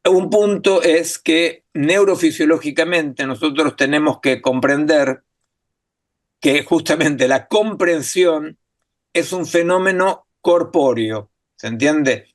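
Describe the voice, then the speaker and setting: A man with a fairly high voice gives an academic lecture in a language that is not his own. He thinks aloud as he goes, full of pauses, fillers and little checks on whether the audience follows. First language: not Spanish. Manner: thinking aloud, many fillers and tag questions. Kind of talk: academic talk.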